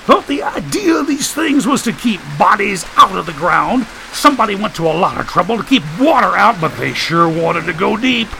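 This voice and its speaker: A man voicing a brash, folksy, excitable wisecracker in an audiobook story. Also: high voice, normal speed, creaky, hardly any pauses, a little hiss or hum, pitched above the performer's own voice, exaggerated voice, poor audio. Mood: curious, amazed. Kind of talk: audiobook character voice.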